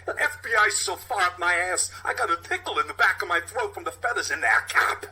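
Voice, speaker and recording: Casting: an unpredictable cop-show captain, Walken-like halting delivery, pleasant yet menacing, raised and animated pitch. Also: male voice, exaggerated voice. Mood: furious. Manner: halting theatrical monologue swinging between hushed and shouting. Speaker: police captain.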